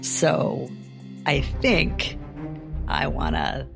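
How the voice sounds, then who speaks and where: A woman with a strongly creaky voice plays a tabletop roleplay game, narrating what her character does. Music plays clearly behind her. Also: a bass voice, huge pitch swings, very slow. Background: background music.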